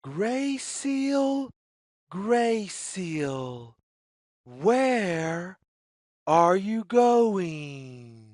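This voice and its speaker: Very slow, singsong woman reading to children. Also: mid-range voice, very animated, a little mellow, no echo, clean studio sound. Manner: deliberate, singsong, repetitive phrasing with questions to the listener. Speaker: children's read-along storyteller. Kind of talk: read-aloud picture book for young children.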